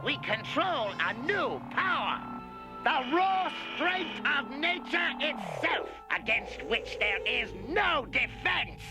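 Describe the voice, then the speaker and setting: A theatrical cartoon supervillain, extremely high and shrill. He declaims slowly and grandly, a megalomaniac commander forever ready to rage, with music swelling behind him. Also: very animated, exaggerated voice, rough recording. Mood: grandiose menace. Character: megalomaniac male villain commander, shrill, theatrical, easily enraged. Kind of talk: 1980s action cartoon acting.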